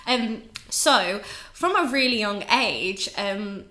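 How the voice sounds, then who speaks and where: A woman's voice: medium pitch, expressive, slow, pushed and bright, echoey room. A woman in her twenties with an English accent, a podcast guest talking candidly and casually, swearing now and then. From England, raised in Ireland. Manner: chatty, candid, swears, laughs often.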